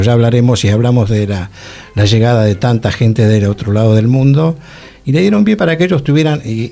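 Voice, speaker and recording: Emphatic Argentine man with medium-pitched voice. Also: darkish tone. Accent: Argentine, Rioplatense. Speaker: radio show co-host. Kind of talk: casual radio conversation.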